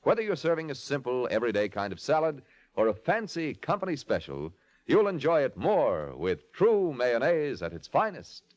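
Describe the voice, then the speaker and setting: A man's voice: medium pitch, big pitch swings, moderate pace. A man announcing in a scripted 1940s radio style, with a polished, emphatic salesmanlike delivery. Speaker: announcer, narrator and sponsor pitchman.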